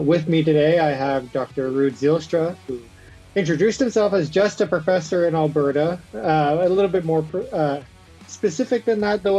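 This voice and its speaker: A man's voice: on the high side, dark tone, husky, dull audio. A man hosting a podcast interview in a casual, conversational style. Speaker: podcast host.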